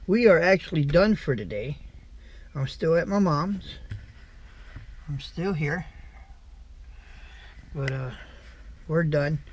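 Middle-aged American man, raspy fry, a touch higher voice, laid-back vlogger. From USA. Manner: folksy, relaxed, chatty. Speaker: trucker vlogger. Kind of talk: casual vlog chat. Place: in a parked vehicle, on a home porch outdoors. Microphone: camera mic close up.

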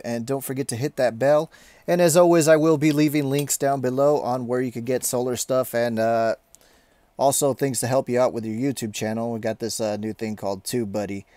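A man giving a casual hands-on update as a video host, talking in an informal, halting, rambling way. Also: mid-range voice, mellow, dry recording. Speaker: tech video maker, host.

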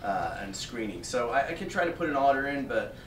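Male actor as harried on-call doctor, blunt and halting, stage drama, recorded from afar with clear noise. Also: medium pitch, big pitch swings, echoey room, distant mic, natural voice. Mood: pressing, matter-of-fact. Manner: halting, pressing with questions. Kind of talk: staged play reading. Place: theatre stage, indoors. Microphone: far camera.

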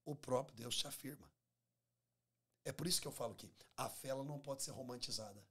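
A Brazilian pastor in his forties speaks as a studio guest, in a medium-pitched, somewhat husky male voice. He preaches conversationally and emphatically, repeating key phrases and voicing little dialogues. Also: a little fast, fairly bright tone. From Minas Gerais, Brazil. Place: small podcast studio. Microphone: close studio microphone on table stand.

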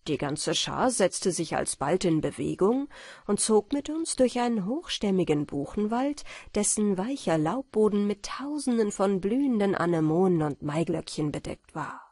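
A woman narrating a bedtime fairy tale audiobook in a gentle, measured storytelling manner. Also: mid-range voice, sing-song, dry recording, clean studio sound.

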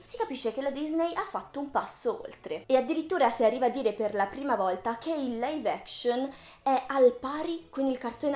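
A woman gives chatty, playfully theatrical video commentary as a host. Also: fairly high pitch. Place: small room with bookshelves, indoors. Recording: roomy, reverberant indoor sound.

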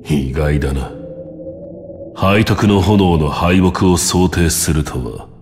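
An actor voicing a grim, weary immortal warrior in a video game, speaking in a stern, formal, measured way. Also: male voice, low-pitched, background noise. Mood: grave. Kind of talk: Japanese video game voice acting. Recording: dramatic music under the voice. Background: loud music.

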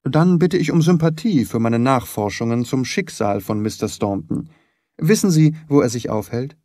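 A man playing a composed, shrewd gentleman detective in an audiobook, polite, precise and insistent. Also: mid-range voice, expressive, moderate pace, no room sound, clean studio sound, close to an everyday voice. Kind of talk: audiobook character acting.

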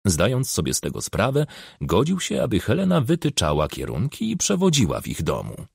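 A man narrates a crime novel for an audiobook in a low-pitched voice with a creaky edge. His pitch moves about in a lively way as he reads the scripted prose. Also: fairly quick, dry recording, studio quality.

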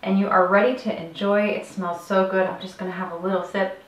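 A woman's voice: medium pitch, flat delivery, fairly quick, a little hiss or hum. Upbeat woman coach in an echoey kitchen. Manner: upbeat, instructional, addresses viewer directly. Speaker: health coach. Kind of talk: cooking demonstration. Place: home kitchen, indoors. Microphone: camera mic at a distance. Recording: roomy kitchen from a distance.

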